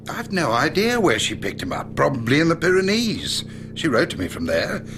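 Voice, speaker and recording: An actor playing a breezy, blunt gentleman gossip in a radio drama. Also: a little high, wildly swooping pitch, moderate pace. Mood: breezy, gossipy. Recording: clear background noise.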